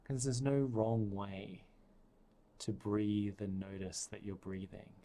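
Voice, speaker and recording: A man guiding a breathing meditation, speaking softly and soothingly with long pauses. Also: lowish voice, level tone, vocal fry. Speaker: meditation guide.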